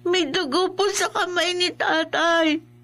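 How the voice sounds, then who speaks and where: A woman's voice: very high voice, fairly even intonation, unhurried, fairly bright tone, exaggerated voice. A woman playing a frightened little girl, crying as she speaks in a horror radio drama. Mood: tearful, frightened.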